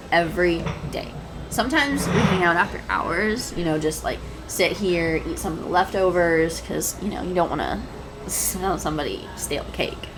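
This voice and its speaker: A woman playing a shy, adorkable young writer in an audio roleplay, speaking hesitantly with stammers, nervous giggles and apologetic phrasing. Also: on the low side. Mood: warming up, chatty. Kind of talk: intimate one-sided audio roleplay acting. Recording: clear background noise, cafe ambience effects. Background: coffee shop sound effects.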